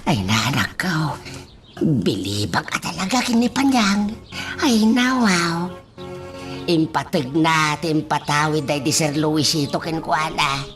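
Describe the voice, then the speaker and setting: A woman playing an emotional mother in a radio drama, who sighs and exclaims often and speaks slowly over faint music. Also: low-pitched, lively intonation. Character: older mother fretting over her son. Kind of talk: amateur radio drama acting.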